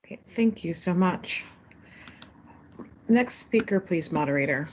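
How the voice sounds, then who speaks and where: A woman's voice: lowish voice, animated, unhurried, lo-fi sound, slight background noise. A woman clerk giving brief, formal procedural cues. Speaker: meeting clerk. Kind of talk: procedural announcements.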